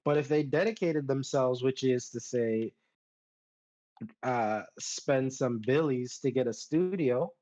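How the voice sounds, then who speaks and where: A man's voice: medium pitch, slow pace, mellow. A man co-hosting a casual gaming talk show in a questioning, conversational way, on dull lo-fi audio. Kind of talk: casual panel discussion.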